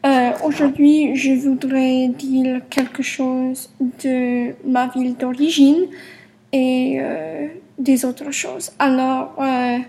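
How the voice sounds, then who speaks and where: A young woman with an American accent and English as her first language, giving an informal presentation haltingly as a language learner, searching for words. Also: sits high, little pitch movement, vocal fry, mellow, a little hiss or hum. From Cortland, New York, United States. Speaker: French learner.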